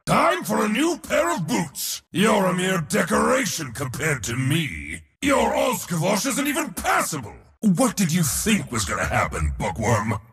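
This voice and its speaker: An actor playing a merciless, boastful god of war in a video game, declaiming imperious taunts in a theatrical way. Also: male voice, high-pitched, huge pitch swings, unhurried, gravelly, few pauses, a bit bright, exaggerated voice. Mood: scornful taunting. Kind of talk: video game character voice lines.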